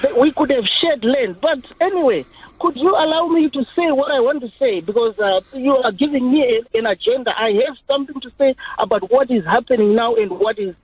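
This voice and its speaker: A man's voice: extremely high pitch, a little fast, slightly rough, dry recording. A man, calling in to a radio phone-in and speaking earnestly and insistently. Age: 40s. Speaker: caller. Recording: dull phone line.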